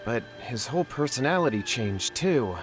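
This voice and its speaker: A man's voice: medium pitch, lively intonation, normal speed, music in the background, lightly acted. A man voicing a nervous young man in a video game, stammering and flustered. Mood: confessing. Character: nervous young man, scheming and easily cowed.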